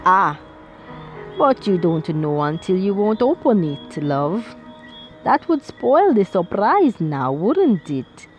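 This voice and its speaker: A woman's voice: medium pitch, big pitch swings, slow pace, soft background music. A woman voicing a jovial middle-aged male Italian restaurateur with a put-on Italian accent, effusive and teasing. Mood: playful.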